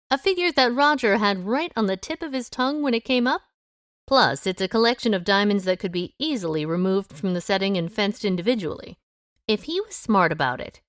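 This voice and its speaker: A woman's voice: medium pitch, no room sound, studio quality, close to an everyday voice. An actress playing a shrewd, world-weary 1920s woman sleuth in an audio drama, knowing and matter-of-fact. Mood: shrewd, accusing. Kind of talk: audio drama dialogue and first-person narration.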